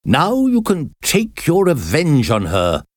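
A man voicing an obsessive middle-aged priest, speaking slowly with a trembling, menacing tone. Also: fairly low pitch, big pitch swings, dry recording, studio quality, exaggerated voice. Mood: commanding.

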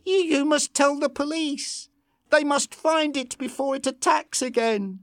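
A man's voice: very high voice, flat delivery, normal speed, a bit bright, dry recording, clean studio sound, pitched above the performer's own voice. A man reading a story, voicing a distraught farm woman who stammers and trembles as she cries. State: crying, trembling. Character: trembling middle-aged female farmer.

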